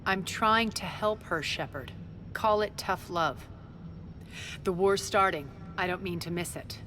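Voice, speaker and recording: An actress playing a ruthless, sardonic crime boss in a video game, speaking in a clipped, commanding, scornful manner. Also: female voice, on the low side, a little slow, a bit bright, soft background music. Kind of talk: video game cutscene acting.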